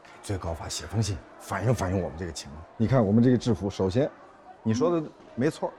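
An actor playing a harried court officer in a screen drama, placating and evasive, with dry exasperation and repeated words. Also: male voice, lowish voice, fast, dark tone, natural voice. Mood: dryly exasperated. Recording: noticeable background noise and ambience.

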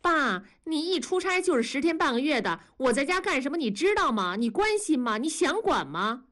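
Role: resentful teenage daughter, shrill and biting, voiced by a woman narrator. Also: huge pitch swings, moderate pace, full character voice. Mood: angry, tearful. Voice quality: shrill, accusing. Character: upset teenage girl lashing out at father.

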